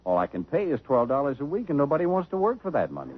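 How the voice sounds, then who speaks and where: A man's voice: fairly high pitch, wildly swooping pitch, fast, breathy edge, full character voice. An actor playing a fretful small-town drugstore owner and father in a 1950s radio drama. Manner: fretful and put-upon. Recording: muffled old radio broadcast.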